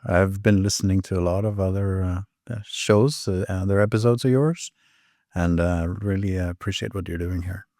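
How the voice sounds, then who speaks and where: A middle-aged man from Sweden, a non-native English speaker, talking in a podcast interview and often pausing with fillers as he searches for words. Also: sits low, fairly even intonation, mellow, no echo, clean studio sound. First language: Swedish. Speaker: podcast guest. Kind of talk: casual interview conversation.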